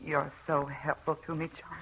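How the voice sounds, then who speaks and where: An actress playing an anxious, haunted wife in a melodramatic old-time radio drama. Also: female voice, sits low, little pitch movement, moderate pace, vocal fry. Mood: meek, apologetic. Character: anxious, haunted wife recounting supernatural terrors. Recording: muffled, noisy old radio recording.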